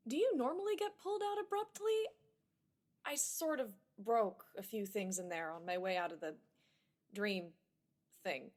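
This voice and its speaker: An actress playing a shaken, unsure new patient in a radio drama, speaking in a halting, hesitant way. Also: female voice, mid-range voice, close to an everyday voice. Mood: hesitant, uneasy.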